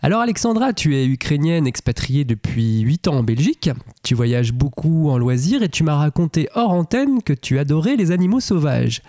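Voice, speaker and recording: A male radio host with a fairly high, mellow voice that rises and falls a lot. He asks questions in a friendly manner, hesitating now and then. The studio sound is dry. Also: very animated, moderate pace, no echo. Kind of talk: radio interview.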